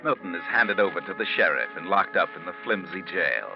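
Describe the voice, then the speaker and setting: An actor playing an ominous storyteller, narrating a 1940s radio suspense drama in a dramatic, deliberate way. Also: male voice, low voice, lively intonation. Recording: dull vintage radio recording with music.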